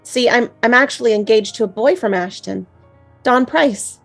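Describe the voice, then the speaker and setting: A woman's voice: on the high side, normal speed, creaky, fairly bright tone, soft background music. A woman playing a kind, flustered young college student in a screenplay table read, with a tender, apologetic way of talking. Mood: apologetic, hesitant.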